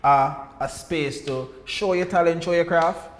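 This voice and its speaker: A man's voice: a little high, measured pace, crowd noise. A man with a Jamaican accent, a pantomime actor, talking casually in a podcast group chat. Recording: echoey room with faint noise.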